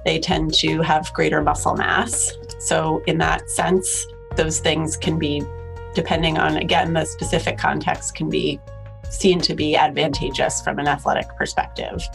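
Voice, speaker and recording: A woman's voice: low voice, level tone, moderate pace, creaky, faint music behind. A woman doctor explaining a topic carefully and with hedges in an interview. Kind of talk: expert interview.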